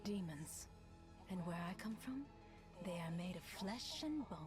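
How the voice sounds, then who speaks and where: A woman voicing a solemn female demon-hunting warrior in a video game, measured, proud and poetic. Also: medium pitch, big pitch swings. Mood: intrigued. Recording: game audio with music.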